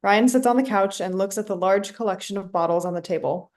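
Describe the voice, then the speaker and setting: A woman's voice: mid-range voice, fairly quick, darkish tone. A woman reading scripted stage directions as narrator for a play reading.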